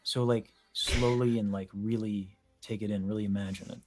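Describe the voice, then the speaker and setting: A man's voice: fairly low pitch, fairly even intonation, normal speed, music in the background. A young man hosting a casual chat, explaining vocal technique in an analytical, detailed way. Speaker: host, vocal coach. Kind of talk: casual video-call chat about singing.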